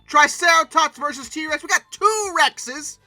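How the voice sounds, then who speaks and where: An expressive, emphatic host giving casual reaction commentary, often breaking into exclamations. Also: high voice, unhurried, no echo, faint music behind. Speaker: host of a paleoart commentary channel.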